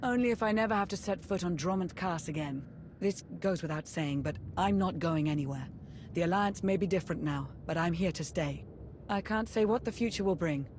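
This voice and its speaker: A woman's voice: fairly low pitch, fairly quick, a little mellow, a little hiss or hum, lightly acted. A woman playing a composed, loyal military adviser in a video game. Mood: measured, loyal. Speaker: adviser to the commander. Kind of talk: dramatic video game acting.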